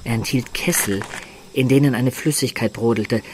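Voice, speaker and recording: An actor playing an adventurer who recounts his tale in measured, descriptive narration for a German radio drama. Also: mid-range voice, slight background noise, natural voice, low-quality recording. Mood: suspenseful. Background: music.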